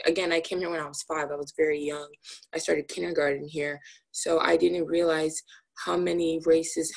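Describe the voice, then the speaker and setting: A woman's voice: deep voice, gravelly, rough recording. A teenage girl from Ghana talking earnestly on a video call from a small room, with frequent restarts. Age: teens. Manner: earnest, animated, quick restarts. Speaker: guest. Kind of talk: casual video call chat. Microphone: phone at arm's length on video call.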